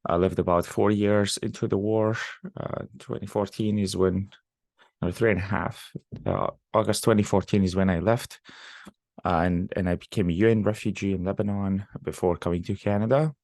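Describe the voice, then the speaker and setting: A man's voice: fairly low pitch, fairly even intonation, moderate pace, creaky, darkish tone, no echo. A young man from Syria, a startup founder telling his story as a podcast guest, talking casually with many fillers and restarts. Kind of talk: casual interview talk.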